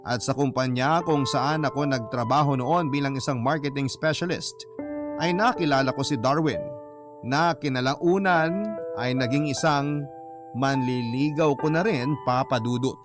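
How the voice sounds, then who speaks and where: A man's voice: medium pitch, moderate pace, close to an everyday voice. A man with a Filipino accent reading a heartfelt letter as scripted radio drama narration. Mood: reflective. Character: narrator voicing a young woman's heartfelt letter. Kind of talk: scripted first-person story narration. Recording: prominent music bed under narration.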